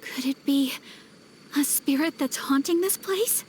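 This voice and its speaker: Refined, high-voiced young noblewoman. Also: darkish tone, a little hiss or hum. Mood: polite concern. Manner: measured, polite, formal. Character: refined, gentle young noblewoman, calm and polite. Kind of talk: video game voice acting.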